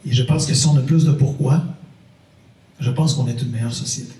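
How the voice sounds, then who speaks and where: A man's voice: medium pitch, fairly even intonation, a little fast, mellow. A middle-aged man with a Québécois French accent, giving an informal talk to a university audience, chatty and repeating phrases for emphasis. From Quebec. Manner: conversational, repeats phrases for emphasis, jokes with the crowd. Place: university lecture hall. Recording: echoey hall, mic at a distance.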